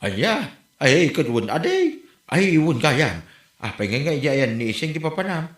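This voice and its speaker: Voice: mid-range voice, very animated, normal speed, natural voice. A performer with an Ilocano accent playing a nagging, teasing character who keeps questioning in a comedy drama. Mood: incredulous. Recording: echoey room with music behind.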